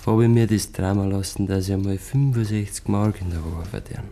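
An actor playing a restless, brooding village husband with a halting, musing delivery and a Bavarian accent, in 1950s radio play style. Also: male voice, fairly low pitch, steady tone, mellow, close to an everyday voice. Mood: proud, musing. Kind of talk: 1950s German dialect radio play acting. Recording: 1950s radio recording, dull, faint noise.

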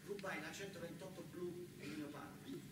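Man, southern Italian accent, theatrical worker's monologue, colloquial, repetitive dramatic phrasing, distant from mic, loud background noise. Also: a little high, steady tone, moderate pace. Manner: dramatic, repetitive, colloquial dialect-tinged telling. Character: southern Italian factory worker telling his life story. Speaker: stage actor, storyteller. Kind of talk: theatrical first-person monologue. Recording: very echoey, distant, heavily noisy live recording.

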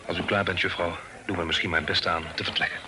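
An actor in a radio play voicing a polite, formal, obliging gentleman traveller. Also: low-pitched, steady tone, quick pace, lightly acted. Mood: polite, guarded. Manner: polite, formal, well-mannered. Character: courteous, obliging gentleman traveller escorting a young lady. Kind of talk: Dutch radio play acting. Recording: noisy old radio recording, clicks.

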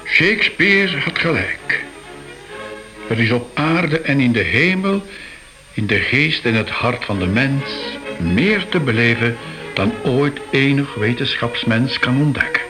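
An actor playing an earnest, reassuring museum curator, solemn and emphatic, in a radio drama. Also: male voice, mid-range voice, animated, unhurried, sharp tone, faint music behind.

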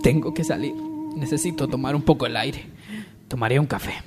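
A man's voice: mid-range voice, wildly swooping pitch, a little fast, music in the background. A man playing a petulant, melodramatic rich young heir in a parody radio soap. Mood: distraught.

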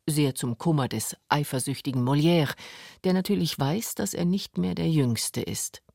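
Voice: medium pitch, moderate pace, vocal fry, a little mellow, dry recording. A narrator reading a scripted radio documentary in a studio.

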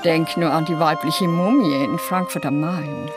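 A woman's voice: low voice, steady tone, unhurried, loud music behind the voice. A woman in a radio play acting a genteel English lady, fussy, imperious and theatrically affected. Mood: airy delight.

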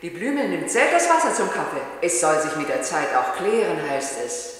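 An actress playing a fond, doting wife as a remembered voice in a German radio play, in cavernous reverb. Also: female voice, lowish voice, very animated, brisk, a bit bright. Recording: cavernous echo with long reverb.

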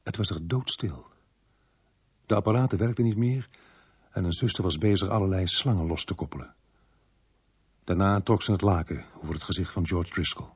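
A man's voice: deep voice, normal speed, pauses often, husky, no room sound. An actor playing a weary, compassionate male police inspector in a radio drama, both speaking and narrating in the first person. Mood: sombre narration. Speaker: actor in the lead and narrating role.

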